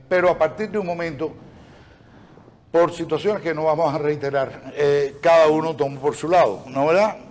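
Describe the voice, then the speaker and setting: A middle-aged man hosting a talk show in an expressive, joking way. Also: on the high side, a little hiss or hum. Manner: expressive, joking, quick exclamations.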